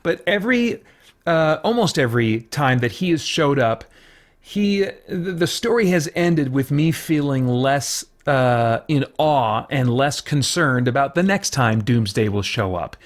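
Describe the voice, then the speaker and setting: Man, medium pitch, review host, casual commentary, unscripted, hesitant phrasing, thinking aloud. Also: animated, unhurried. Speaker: host of a comic book review show.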